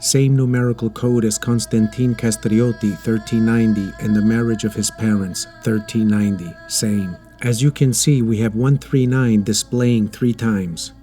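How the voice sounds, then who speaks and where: A man delivering scripted educational narration in a deliberate, emphatic, explanatory manner. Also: lowish voice, steady tone, dark tone. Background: background music.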